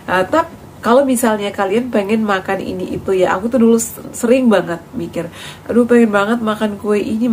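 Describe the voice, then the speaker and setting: A chatty woman talks straight to her viewers in a relaxed vlog style, full of small fillers and restarts. Her voice sits at a middle pitch, and there is a faint hiss behind her. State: recovering from cough and lost voice. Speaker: vlogger. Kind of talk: casual health vlog talk to viewers.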